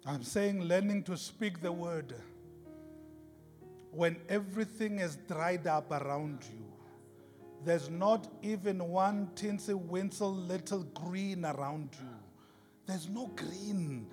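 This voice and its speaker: A man's voice: high voice, lively intonation, slow pace, a little mellow. A man preaching an impassioned sermon in an emphatic, repetitive, rhetorical style. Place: large reverberant indoor worship space. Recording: hall reverb with music behind.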